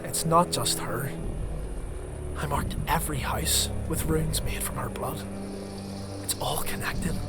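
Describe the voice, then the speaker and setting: An actor playing a fanatical alchemist twisted by dark blood rites, preaching fervently in an unhinged manner in an audio drama. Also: male voice, on the high side, slow, pauses often. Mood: zealous, accusing. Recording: music behind.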